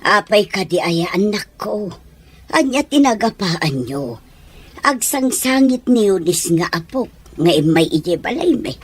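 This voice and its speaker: A woman's voice: medium pitch, sing-song, measured pace, breathy edge. A woman with a Filipino accent playing a concerned, scolding older mother-in-law in a radio soap drama. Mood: concerned, reproachful.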